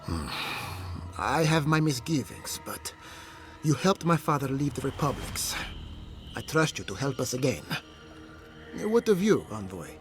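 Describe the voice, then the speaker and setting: An actor playing a wary, grave animancer in a video game, speaking in a measured, formal way. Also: male voice, medium pitch, very animated, faint music behind. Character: wary, grave male animancer favouring caution. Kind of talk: scripted video game dialogue.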